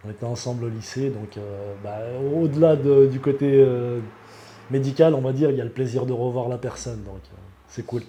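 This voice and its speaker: A man's voice: mid-range voice, steady tone, fairly quick, mellow, a little hiss or hum. A man, giving an unscripted testimonial as a patient in an earnest, emphatic way. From Lyon, France. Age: 40s.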